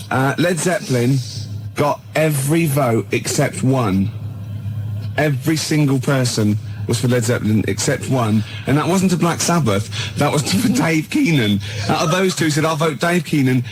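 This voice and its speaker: A man's voice: on the high side, rough recording. A man hosting a radio show with casual studio banter, arguing insistently and repeating himself for emphasis. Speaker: radio host. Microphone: studio microphone. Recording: music behind the voice.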